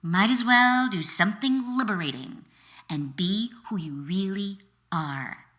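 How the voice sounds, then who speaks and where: Bright, slow-paced woman reading self-help. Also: medium pitch. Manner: deliberate, with pauses between short phrases; direct second-person address, encouraging. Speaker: author reading an excerpt from their own book. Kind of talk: audiobook-style scripted narration of self-help book.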